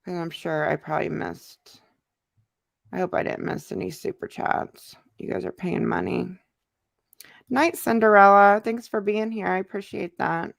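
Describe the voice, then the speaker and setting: A woman's voice: on the low side, steady tone, a little slow, gravelly, no room sound, clean studio sound. An American woman hosting a casual livestream, talking conversationally in loose fragments as she responds to viewers. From United States. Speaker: livestream host, YouTuber. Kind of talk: casual livestream chat, answering viewer comments.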